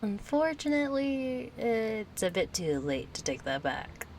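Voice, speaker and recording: A woman's voice: a little high, big pitch swings, unhurried, creaky, darkish tone, slight background noise, heavily acted. A woman acting a kind, chatty young friend in an intimate audio roleplay, casual, playful and a little hesitant. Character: kind, chatty young female friend, gently yearning for closeness.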